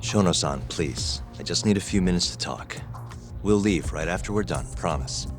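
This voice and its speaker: A man's voice: sits low, expressive, moderate pace, music in the background. A man playing a blunt, persistent investigator in a dramatic video game. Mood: insistent. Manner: blunt, insistent. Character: dogged male investigator, blunt, calm, persistent.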